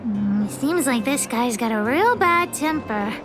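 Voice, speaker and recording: A woman's voice: a little high, animated, measured pace. A woman playing a blunt, spirited little girl in a game, childlike and frank. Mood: frank, wary. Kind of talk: animated game voice acting. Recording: loud music behind the voice.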